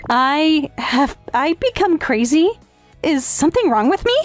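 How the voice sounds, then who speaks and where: A woman's voice: high-pitched, big pitch swings, unhurried. A woman playing a devoted, clingy young step-sister who teases coyly in an English-dubbed manga romantic comedy. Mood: earnest, meek. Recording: music behind.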